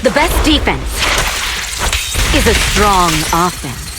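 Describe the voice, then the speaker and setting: A woman's voice: mid-range voice, very animated, slow pace. A woman voicing a disciplined, stern tactical officer, giving clipped, commanding combat lines in a video game. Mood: focused, commanding. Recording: loud music and gunfire over voice.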